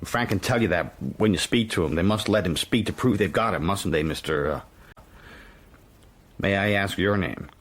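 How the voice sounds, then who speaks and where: A cool, secretive American man in a radio drama, with a fairly low voice. He sounds controlled and insistent, both in dialogue and in first-person narration. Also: normal speed, fairly bright tone. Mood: urgent, determined. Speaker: actor, lead and narrator.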